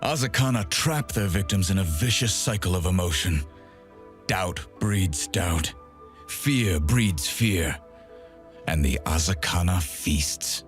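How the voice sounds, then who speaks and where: A man voicing a grim, solemn undead swordsman from a video game, speaking in a measured, dramatic way over music. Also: sits low, animated. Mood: solemn warning. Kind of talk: video game character voice acting.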